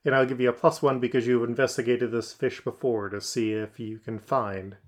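A game master narrating a tabletop roleplaying game. The delivery is relaxed and halting, full of fillers, and sits at an ordinary middle pitch.

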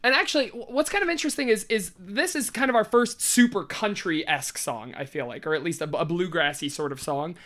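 A woman's voice: lowish voice, animated, brisk, few pauses, a bit bright. A young adult woman hosting a casual music podcast, chatty and joking, laughing often. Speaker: podcast host. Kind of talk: casual chat about music.

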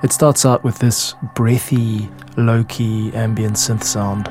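A man hosting a podcast, explaining his own music in a measured, reflective way. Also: fairly low pitch, little pitch movement. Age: adult. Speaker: podcast host and music producer.

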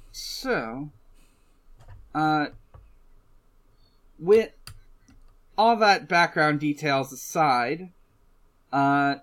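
Guy podcast host w a slightly high bright voice, kinda halting, casual recap chat. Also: smooth. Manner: halting, hesitant delivery.

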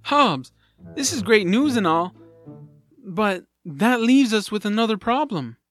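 A man's voice: high voice, big pitch swings, unhurried, a bit bright, faint music behind, heavily acted. A man playing an earnest, loyal, eager young adventurer in a family audio drama. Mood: concerned, pleading.

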